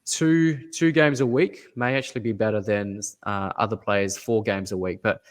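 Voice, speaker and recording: Low-voiced man analyzing fantasy basketball. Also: steady tone. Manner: measured, repeats advice, thinks aloud with fillers. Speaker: fantasy basketball podcast co-host. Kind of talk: casual podcast analysis.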